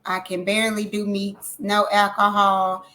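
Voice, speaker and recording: A woman singer talks casually in an interview. She is emphatic and animated and repeats phrases for effect. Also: mid-range voice, measured pace. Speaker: singer guest.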